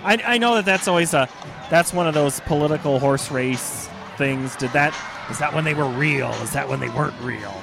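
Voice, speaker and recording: A man's voice: on the high side, moderate pace, creaky, music in the background. A man hosting a podcast, talking casually and rambling, often correcting himself mid-sentence. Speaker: podcast host. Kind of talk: casual sports talk.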